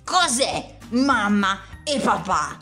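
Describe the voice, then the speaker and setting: A woman's voice: mid-range voice, big pitch swings, slow, pushed and bright, faint music behind, heavily acted. A woman voicing a big, puzzled, three-eyed male Martian who barks short questions. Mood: gruff.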